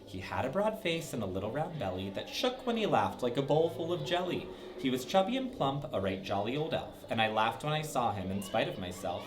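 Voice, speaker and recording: Lively man reading a story to kids. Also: mid-range voice, fairly quick, few pauses, poor audio. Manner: lively, sing-song, with dramatic swoops. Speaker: storybook reader for children. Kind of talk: reading a rhyming story aloud.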